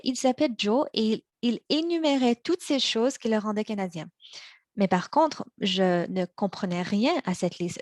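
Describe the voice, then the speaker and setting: A young woman born in China and raised in Montreal, giving a prepared practice speech in a measured way, slowing on key words, with small restarts. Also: medium pitch, no room sound, studio quality. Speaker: practice speaker for interpreters.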